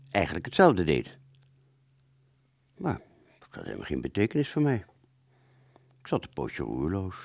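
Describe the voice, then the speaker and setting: Deep, gravelly, dramatic male storyteller. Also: a little mellow, no echo. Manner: hesitant, conversational, with drawn-out words and sudden emphasis. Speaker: storyteller in an audio play. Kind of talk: dramatic first-person narration.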